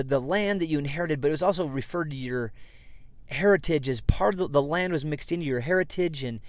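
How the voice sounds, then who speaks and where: Slightly hoarse man, dry close sound. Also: a little high, no echo. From southeast Idaho, USA. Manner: rambling, self-correcting, conversational. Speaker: podcast host. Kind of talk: casual history podcast monologue. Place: inside a parked vehicle.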